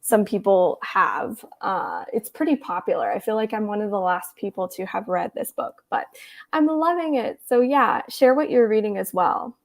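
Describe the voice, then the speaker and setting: A hoarse young woman in her twenties hosting a casual reading livestream, chatty and giggly as she reads viewer comments, trying to talk more quietly than usual. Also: mid-range voice, lively intonation, moderate pace. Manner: tries to speak softer than usual. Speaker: booktube livestream host. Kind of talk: casual chat with live viewers.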